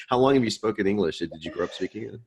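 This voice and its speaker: Deep male teacher, loose storytelling delivery, laughs easily. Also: quick pace, breathy edge. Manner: chatty, self-deprecating, laughs often. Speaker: instructor. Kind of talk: online class Q&A.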